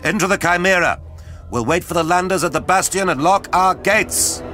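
An actor playing a wary, proud army colonel in an audio drama. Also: male voice, high-pitched, moderate pace, bright, forceful tone, faint music behind. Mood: tense.

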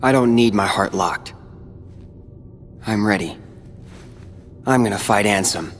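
A man's voice: fairly low pitch, a little slow, big-room sound, slight background noise, lightly acted. A young American man playing a brooding, stubborn teenage boy warrior in a video game cutscene, with a terse delivery. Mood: guarded, resolute.

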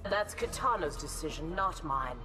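A woman's voice: deep voice, animated, moderate pace. A woman playing a composed, proud warrior and royal advisor in a video game, speaking formally. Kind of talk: video game cutscene dialogue. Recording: distant, under loud game music.

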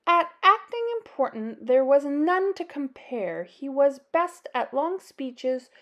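A woman reading a children's picture book aloud as a storyteller, with an expressive, deliberate delivery. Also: sits high, highs cut off. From Canada.

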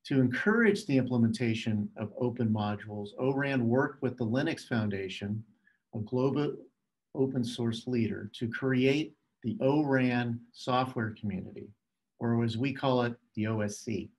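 A middle-aged man presenting a scripted keynote as a telecom executive, in a measured, formal, phrase-by-phrase way. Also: mid-range voice, darkish tone, low-quality recording.

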